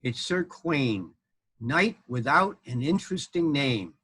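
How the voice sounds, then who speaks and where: A man's voice: medium pitch, big pitch swings, slow, a bit bright, breathy edge, heavily acted. A man voicing a bumbling, apologetic knight in a children's story, hesitant and sheepish. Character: bumbling, apologetic knight lost in a dark forest.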